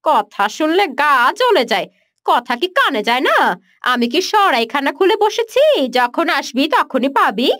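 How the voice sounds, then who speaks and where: A high, swooping voice as a haughty rich village woman in a cartoon. She scolds sharply and scornfully, bossing everyone around, recorded close and dry. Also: very animated, normal speed, a bit bright, dry recording. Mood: scornful, angry. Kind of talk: Bengali moral-story cartoon dubbing.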